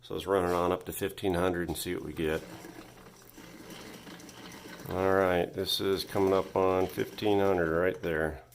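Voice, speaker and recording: A man casually walking through a repair at his home workbench, thinking aloud with frequent pauses and ums, recorded on a phone on a tripod. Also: low voice, steady tone, a little hiss or hum. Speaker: vintage electronics repair host. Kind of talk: casual workshop walkthrough. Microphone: phone camera on a tripod.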